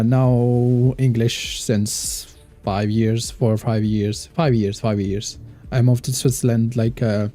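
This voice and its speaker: Dark-voiced man streaming a game. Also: mid-range voice. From Morocco. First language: Moroccan Arabic. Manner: thinks aloud, chats with viewers, halting non-native phrasing. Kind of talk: casual live-stream gameplay commentary.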